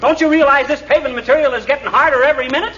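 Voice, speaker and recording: An actor playing a blustering, exasperated husband who grumbles and wisecracks in a 1940s radio comedy. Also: male voice, very high-pitched, racing, sharp tone. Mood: indignant, pleading. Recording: noisy, dull 1940s radio recording, distant.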